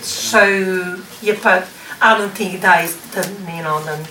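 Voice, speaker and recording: A woman with an Italian accent, answering a question about her church's team in a relaxed, easygoing way. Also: medium pitch, measured pace. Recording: echoey room, distant mic, some noise.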